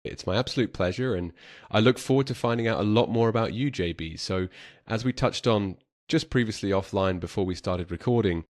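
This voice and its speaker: Low-voiced southern English man, podcast host. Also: brisk. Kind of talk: conversational interviewing.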